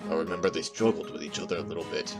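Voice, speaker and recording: Man playing brash, very deep-voiced witness. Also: rapid-fire, over loud music. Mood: smug, scornful. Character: brash male animal witness who loves a fight. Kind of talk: amateur audio drama acting.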